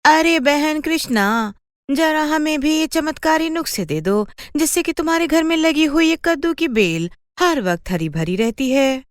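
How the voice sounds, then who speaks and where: A woman playing a chatty, flattering, inquisitive neighbour in a children's cartoon story. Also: sits high, a little slow, dry recording, clean studio sound. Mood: prying. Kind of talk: animated children's moral story dialogue.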